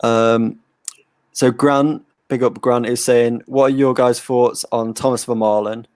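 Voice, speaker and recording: A man hosts a casual live watch-along in a relaxed, easygoing way. He keeps reading out viewer comments and giving them shout-outs. His voice sits at an ordinary pitch and sounds close and dry. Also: a little slow, smooth, dry recording. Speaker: host. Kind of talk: casual chat.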